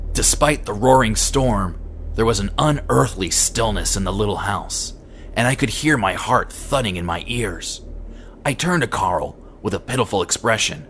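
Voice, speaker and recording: A male amateur narrator reads scripted first-person horror fiction. His voice sits fairly low and his delivery is deliberate and dramatic. Clear ambient noise runs behind the reading. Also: a bit bright.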